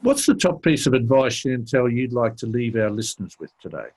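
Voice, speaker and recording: A man's voice: mid-range voice, animated, fairly quick, a little mellow, breathy edge. A man hosting a podcast interview, friendly and affirming toward his guest. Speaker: podcast host.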